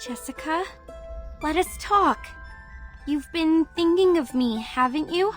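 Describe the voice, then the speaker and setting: A young woman voicing a caring but firm storyteller in a video game, earnest and gently reproachful. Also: sits high, animated, takes it slowly, faint music behind. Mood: gentle, coaxing.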